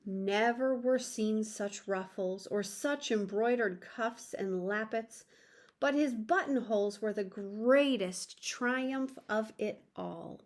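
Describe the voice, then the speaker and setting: A woman reading a children's story aloud in an expressive storytelling manner. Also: medium pitch, slow pace. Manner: expressive, addresses young listeners.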